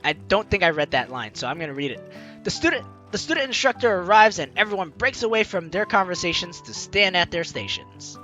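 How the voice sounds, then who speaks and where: A young woman with a bright, forceful voice and very lively intonation. She reads game text theatrically and drops in playful, chatty commentary. Faint music sits behind her. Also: on the low side, brisk, muffled recording. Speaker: gaming YouTuber, host. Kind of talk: let's play, reading game text aloud with commentary.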